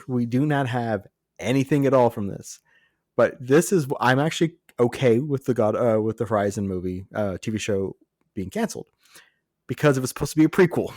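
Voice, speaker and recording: A man's voice: mid-range voice, no room sound. Casual, jokey man, close dry sound. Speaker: podcast co-host. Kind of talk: casual film and TV news chat.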